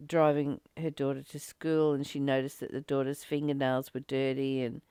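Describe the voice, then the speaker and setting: A woman's voice: a bass voice, level tone, normal speed, mellow, clear, resonant tone, dry recording. A woman talking casually in a spiritual study discussion, rambling conversationally and often searching for words. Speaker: psychic healer leading a spiritual book study. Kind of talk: casual spiritual discussion with some read-aloud passages.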